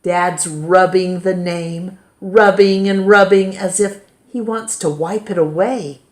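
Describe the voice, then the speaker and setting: A woman reading a children's picture book aloud in a measured, gently expressive way. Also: lowish voice. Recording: roomy, echoing indoor sound.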